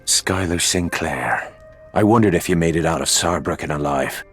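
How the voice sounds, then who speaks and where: A tough, foul-mouthed Irishman with a very low voice. He talks gruffly and sardonically, like a bitter mechanic nursing a grudge in a video game, over a faint musical score. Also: normal speed, a bit bright, faint music behind. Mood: wry, guarded. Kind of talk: dramatic video game acting.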